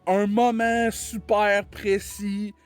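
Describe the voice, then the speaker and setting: A man's voice: high voice, little pitch movement, extremely slow, smooth, faint music behind. A man with a Quebec French accent, a podcast guest chatting casually and rambling through many restarts. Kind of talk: casual conversational chat.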